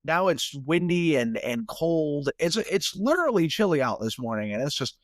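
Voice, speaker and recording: A man's voice: on the high side, very animated, moderate pace, dry recording, clean studio sound. A young man with a stuffy nose hosting a solo sports podcast in a casual, rambling way, often repeating words as he restarts. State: stuffy from sinuses. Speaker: podcast host.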